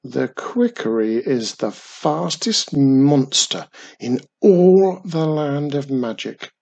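A storyteller voicing a wary little old man who tells spooky tales slowly and with emphasis. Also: mid-range voice, animated, creaky, darkish tone, dull audio, exaggerated voice. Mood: dramatic. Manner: slow, emphatic, dramatic. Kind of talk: storybook reading.

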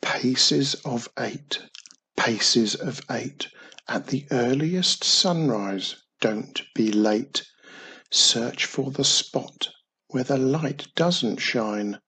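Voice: mid-range voice, extremely slow, pushed and bright, breathy edge, full character voice, rough recording. A storyteller voicing a busy, mischievous young wizard boy from a children's storybook, earnest and fussy. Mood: solemn reciting.

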